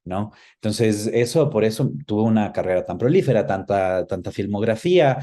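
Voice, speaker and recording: Male interview guest. Soft, dark timbre, thoughtful conversational delivery that gropes for the right word. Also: on the low side, animated, normal speed. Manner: hesitant, searching for words. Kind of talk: reflective conversational interview answers.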